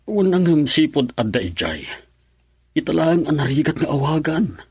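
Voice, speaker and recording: A man's voice: fairly high pitch, big pitch swings, moderate pace, a little mellow, breathy edge, dry recording, clean studio sound, full character voice. A man acting a worried, brooding middle-aged father in an Ilocano radio drama. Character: worried middle-aged father drinking away his anxiety.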